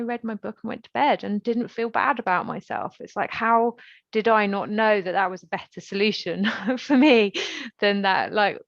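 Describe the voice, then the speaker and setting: Woman podcast host, creaky voice, dull audio. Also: medium pitch, no room sound. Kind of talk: casual conversational interview.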